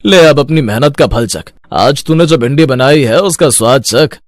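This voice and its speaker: A man's voice: mid-range voice, expressive, moderate pace, no room sound, studio quality, natural voice. A man voicing a kindly roadside eatery owner in a cartoon moral story, instructing and encouraging. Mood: generous. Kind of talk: animated moral-story dialogue.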